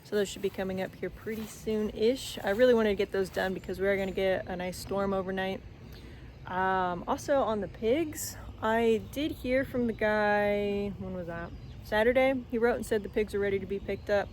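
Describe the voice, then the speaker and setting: A woman's voice: mid-range voice, moderate pace, a little mellow. Young woman filming herself on her phone in the garden, chatty, lots of ums, voice kinda worn, faint outdoor hiss. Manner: chatty, plainspoken, lots of fillers. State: voice strained from talking. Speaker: farm vlogger. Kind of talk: casual farm diary vlog. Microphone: phone held while walking.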